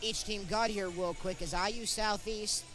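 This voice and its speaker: A man's voice: sits high, fairly even intonation, normal speed, over music. A young man calling live college basketball play-by-play in short, clipped present-tense phrases. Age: young adult. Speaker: play-by-play sportscaster.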